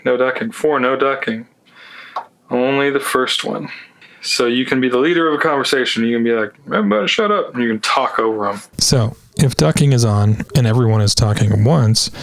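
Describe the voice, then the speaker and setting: Guy reviewing a gadget, kinda sarcastic, creaky voice, totally dead dry room. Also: mid-range voice, dry recording. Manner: wry, sarcastic asides. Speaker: product reviewer. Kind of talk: casual product walkthrough with dry jokes.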